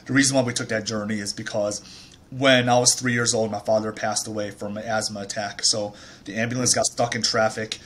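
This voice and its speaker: Low-ish guy telling his story, relaxed and chatty, lots of um and uh. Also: a little fast. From Taiwan. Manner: relaxed, chatty, frequent fillers and restarts. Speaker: startup founder, guest. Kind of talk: casual interview storytelling.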